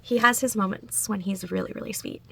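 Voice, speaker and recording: A woman's voice: medium pitch, fairly even intonation, a little fast, vocal fry, fairly bright tone, a little hiss or hum. A woman chatting casually in a writing vlog, a bit congested and nasal, with frequent fillers and little laughs. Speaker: writing vlogger.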